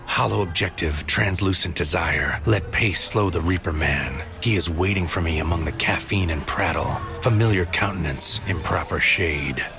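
Bleak, fatalistic man delivering solemn poetic monologue in audio drama; deep voice, music underneath. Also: normal speed, hardly any pauses. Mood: weary, bleak. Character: brooding, fatalistic man narrating his bleak inner world.

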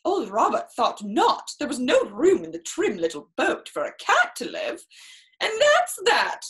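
A woman in her thirties reads a picture book for children in a high voice. Her pitch swings dramatically from line to line. She stretches words for effect and drops in chatty little exclamations as she goes. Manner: theatrical, expressive, drawn-out emphasis with exclamations. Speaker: storyteller reading a picture book. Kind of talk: children's story time read-aloud with chatty asides. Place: small room indoors, seated in an armchair.

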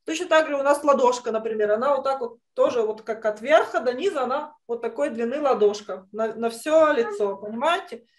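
A woman's voice: a little high, fairly quick, few pauses. A middle-aged woman teaching an online children's drawing lesson, encouraging and fond of affectionate diminutives. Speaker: art teacher. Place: indoor room, on a video call.

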